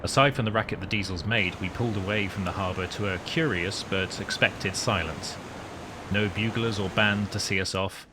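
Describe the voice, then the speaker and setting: A man's voice: on the low side, steady tone, moderate pace, noisy background, natural voice. A man voicing a wry, newly promoted wartime submarine commander, narrating his story in formal, dry understatement. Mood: proud, reflective. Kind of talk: scripted first-person story narration.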